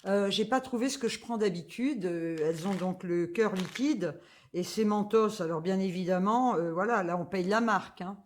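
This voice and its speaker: A woman's voice: mid-range voice, moderate pace, a little hiss or hum. A woman, chatting casually through a grocery haul, full of fillers and asides. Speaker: vlogger.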